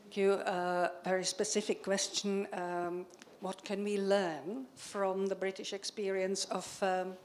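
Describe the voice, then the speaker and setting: A woman in the audience asking a speaker a question in a halting, formal way. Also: mid-range voice, little pitch movement, darkish tone, a little hiss or hum. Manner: halting, formal, searching for words. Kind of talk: question from the floor.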